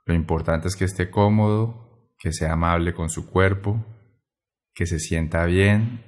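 A man guiding a meditation in a gentle, measured way, pausing between short phrases. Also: deep voice. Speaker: meditation guide. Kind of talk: guided meditation narration.

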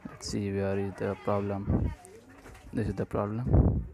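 A man presenting an unscripted screencast coding tutorial in short, halting phrases. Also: on the low side, monotone, creaky, noisy background.

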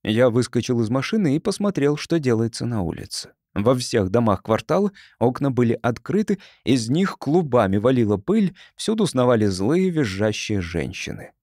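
A male audiobook narrator reads fiction expressively. His voice is mid-range with lively intonation, and the recording is dry, with no room sound. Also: medium pitch, moderate pace, few pauses, no echo.

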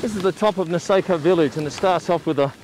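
A man's voice: high voice, fast talker, noisy background. An Australian man in his sixties giving chatty travel vlog narration. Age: 60s. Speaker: travel vlogger.

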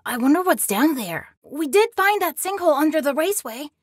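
A woman's voice: high voice, expressive, brisk, no echo, studio quality. A woman voicing a young boy in a video game, scared but plucky and resourceful, speaking in an earnest, anxious way. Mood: determined, tense.

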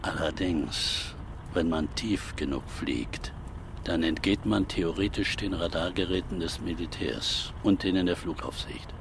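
Gravelly older veteran detective. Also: male voice, low voice, a little slow, background noise. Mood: pensive, sober. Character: seasoned veteran male police detective, sober and thoughtful. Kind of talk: crime radio drama dialogue.

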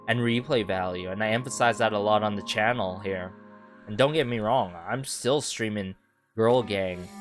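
A young man in his twenties hosting a casual music video reaction from a home setup, chatty and full of rhetorical asides. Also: lowish voice. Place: bedroom home studio, indoors. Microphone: headset headphones with a desk boom mic. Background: song playing faintly.

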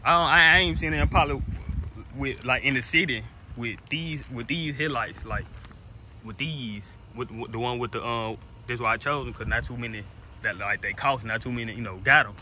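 Young man, 19, unscripted car vlog, rambly and repetitive, phone mic outdoors with steady background noise. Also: medium pitch, moderate pace. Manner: rambling, repeats himself, lots of restarts. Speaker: car vlogger. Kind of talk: casual vlog to camera. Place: outdoors in a driveway beside a car. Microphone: phone held at arm's length.

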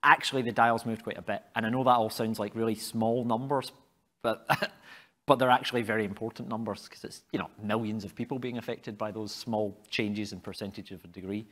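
A man's voice: lowish voice, brisk. A man in his thirties speaking as a climate scientist on a live panel, rambling with many fillers and waving his hands as he talks. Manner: rambling, many fillers, animated hand gestures. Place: stage at an event hall.

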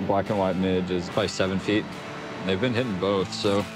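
A man's voice: sits low, brisk, over loud music, poor audio. A young man with an Oklahoma accent, talking casually while fly fishing outdoors by a river pool and cheering his catches. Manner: excitable, bursts into loud cheers over catches. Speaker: fishing vlogger.

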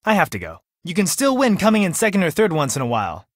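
An eccentric reality show producer in a video game. His voice is high and animated, brisk and instructive, recorded dry and close. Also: no echo, exaggerated voice. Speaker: line producer.

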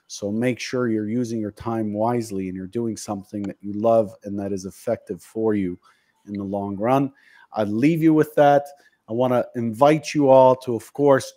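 Mellow-voiced man in his fifties hosting. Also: on the low side. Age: 50s. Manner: reflective, earnest, self-deprecating. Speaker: host. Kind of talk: casual video-call panel chat. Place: home office. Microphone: studio mic on video call.

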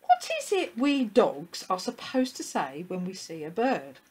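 A woman's voice: mid-range voice, wildly swooping pitch, measured pace, darkish tone, breathy edge, exaggerated voice. A woman voicing a silly, forgetful male dog in a children's story, cheerful, mischievous and exclamatory. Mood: puzzled asking. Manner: exclamatory, polite, chuckling to himself.

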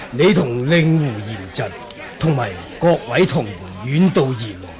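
An actor with a Hong Kong Cantonese accent playing a courteous, formal senior martial arts master in a radio drama. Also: male voice, mid-range voice. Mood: conciliatory. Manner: formal, diplomatic. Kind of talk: 1980s radio drama acting.

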